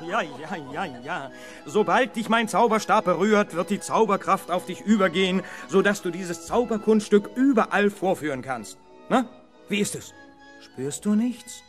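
An actor playing a showy, jovial fairground magician with theatrical patter in a children's radio play. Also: male voice, sits high, expressive, moderate pace, over loud music. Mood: kindly showy. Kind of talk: 1970s German children's radio play acting.

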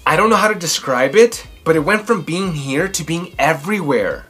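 Guy in his 30s doing a kids storytime, super expressive and theatrical, bright voice, soft music behind. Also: fairly high pitch, husky. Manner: theatrical, emphatic delivery with drawn-out words. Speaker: children's book read-aloud host. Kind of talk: expressive storytelling and chatty commentary for children. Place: small furnished room indoors.

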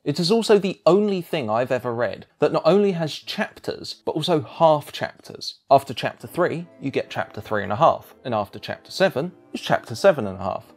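A man's voice: a little high, lively intonation, brisk, hardly any pauses, faint music behind. A man reading scripted narration as a video essayist, with a wry, emphatic delivery.

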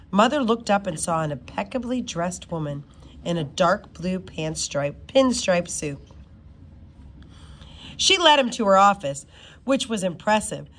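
A woman reading a children's novel aloud in an expressive, informal way, sometimes stumbling over words. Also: medium pitch. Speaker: reader of a children's book.

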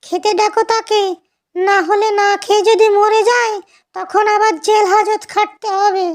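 A man's voice: very high-pitched, flat delivery, unhurried. A man voicing a nagging, jumpy village stepmother in a cartoon. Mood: scornful. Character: scornful, nagging village stepmother, easily frightened. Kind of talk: Bengali cartoon dialogue.

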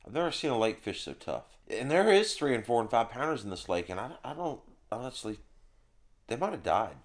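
A man's voice: medium pitch, big pitch swings, brisk. A middle-aged man chatting informally to camera as a vlog host. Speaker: professional angler, vlog host. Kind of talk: casual vlog chat to camera. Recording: outdoor and indoor noise, crowd bustle.

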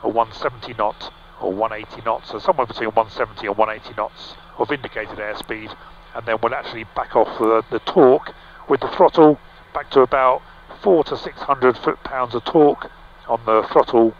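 A middle-aged man piloting a small aircraft, casually explaining the cockpit over a headset boom mic, relaxed, with frequent fillers and restarts. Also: brisk. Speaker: pilot and vlog host. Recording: slightly dull, with faint engine hum.

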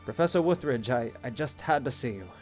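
A man's voice: medium pitch, expressive, brisk, darkish tone, faint music behind, close to an everyday voice. A man playing a harried, doubtful bishop in an audio drama, reserved and hesitant in his speech. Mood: troubled.

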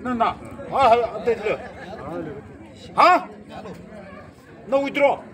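A man's voice: extremely high pitch, slow, a bit bright. A middle-aged man working as an auctioneer outdoors, calling out and repeating bids to urge buyers on, recorded on a phone from within the crowd. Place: open churchyard outdoors, among a crowd. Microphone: phone camera in the crowd, a few meters off. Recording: crowd chatter behind.

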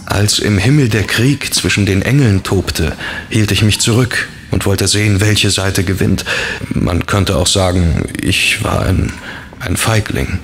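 A melancholy, world-weary fallen angel, low and gravelly. The delivery is sombre and confiding, as in an audio drama, with faint noise behind. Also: male voice, unhurried. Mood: bitter, sorrowful. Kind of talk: horror audio drama acting.

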